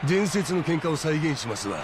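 An actor with a Kansai accent playing a tough, deferential yakuza lieutenant in a video game. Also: male voice, on the high side, very animated, moderate pace, heavy background noise. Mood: respectful. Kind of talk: cinematic video game acting.

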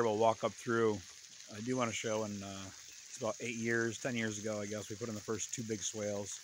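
A man in his fifties with a low voice ambles through a wooded hillside garden and talks in a relaxed, plain-spoken way. He holds a phone out at arm's length as he walks. A faint outdoor hiss sits under his voice. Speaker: permaculture farmer. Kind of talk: casual walking garden tour. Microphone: phone held at arm's length.

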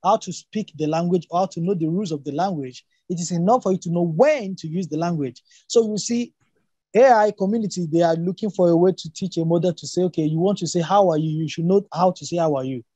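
A man who grew up speaking Yoruba talks through ideas in an academic discussion. His voice is high for a man and mellow in tone, recorded dry and close. He is emphatic, circling back to rephrase his points. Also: quick pace, no echo, lo-fi sound. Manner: emphatic, repeats and rephrases, poses rhetorical questions.

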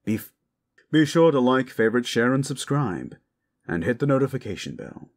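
A man's voice: medium pitch, expressive, normal speed. A man speaking as host and voice actor, giving an enthusiastic, emphatic thank-you outro to listeners.

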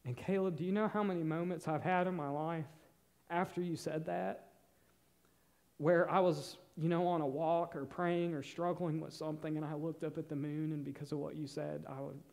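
A young man preaching a sermon on a church stage, earnest, shifting between loud emphasis and soft, near-whispered moments. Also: a little high, steady tone, a little fast, vocal fry. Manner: paces the stage gesturing with both hands. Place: church stage in a large hall.

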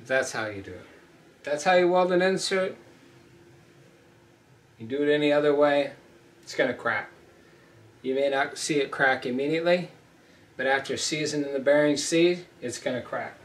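A man's voice: on the high side, normal speed, pauses often, distant mic. A middle-aged man teaching ship fitting at a whiteboard, blunt and emphatic, repeating points as he talks through the steps. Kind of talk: whiteboard welding lesson. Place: small indoor room at a whiteboard. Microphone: camera mic from a few feet away. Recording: roomy echo, voice off-mic.

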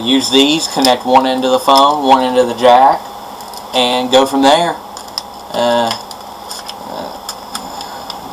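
Casting: male DIY presenter, mid-pitched, informal and halting, with faint noise on an amateur camera recording. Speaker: presenter of a DIY electronics tutorial. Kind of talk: informal hands-on tutorial. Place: indoors at a wooden workbench. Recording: noisy amateur camera audio.

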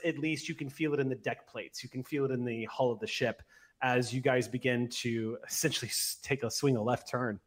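A man in his forties narrates a tabletop roleplaying game as its game master. His voice sits at a middle pitch. He describes scenes at length, pausing often as he goes. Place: indoor room at home, on a video call. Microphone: large microphone up close on a boom.

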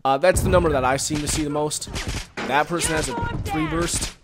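A man giving casual, rambling commentary while playing a game, recorded on a headset and reacting loudly to the action. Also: a little high, steady tone, a little fast, background noise. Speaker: gaming commentator.

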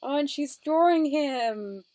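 A woman with a fairly high voice reacts casually as a commentator. Her pace is unhurried and her intonation lively, in short informal remarks.

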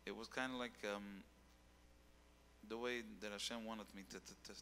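A bright, clear male voice with a long hall reverb around it. He is a young singer telling his story on stage into a handheld mic. He talks loosely and repeats words as he finds them. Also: fairly low pitch, flat delivery, moderate pace, a little hiss or hum. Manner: rambling, repeats words while searching. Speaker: guest singer. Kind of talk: casual interview on stage. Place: dark stage with keyboard.

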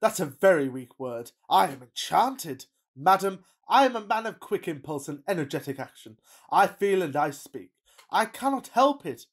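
An impulsive, fickle Victorian gentleman, played by a man in a radio farce. He gushes in florid, pompous phrases with melodramatic feeling. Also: high-pitched, big pitch swings, moderate pace, breathy edge, full character voice. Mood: effusive wooing. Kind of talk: farcical Victorian radio play acting.

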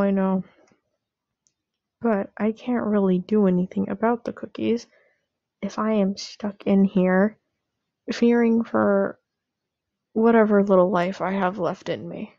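Woman acting a creaky, flustered young boyfriend. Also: medium pitch, warm tone, dry recording, dull audio. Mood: resigned, sheepish. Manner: stammering, apologetic, pleading. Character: sheepish, pleading young boyfriend caught stealing a cookie. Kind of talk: roleplay audio drama, addressing the listener.

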